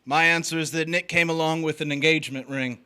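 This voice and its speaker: A man's voice: on the high side, fairly even intonation, quick pace, sharp tone, clean tone. An actor playing a cocky drifter in a stage drama, needling and flirting with a married woman. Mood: flirtatious. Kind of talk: stage drama performed online, with first-person narration.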